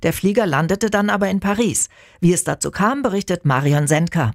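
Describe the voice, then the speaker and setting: A man's voice: high voice, lively intonation, vocal fry, dry recording. Formal male radio newsreader, dry studio. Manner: measured, formal delivery. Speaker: news anchor. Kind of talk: scripted news reading. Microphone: studio microphone.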